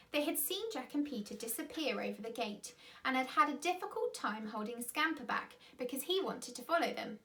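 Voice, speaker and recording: Animated woman reading a children's story. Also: a little high. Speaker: storyteller, school reader.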